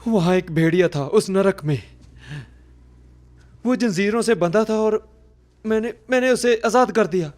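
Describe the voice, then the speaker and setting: A voice actor playing an anxious, guilty teenage boy in a game dub, halting and slow. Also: sits high, darkish tone. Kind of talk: Hindi game dub acting.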